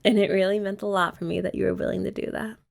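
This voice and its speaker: A woman's voice: mid-range voice, flat delivery, fast talker, gravelly. A young woman doing girlfriend roleplay ASMR, chatty and teasing with rambling asides. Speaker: ASMR creator.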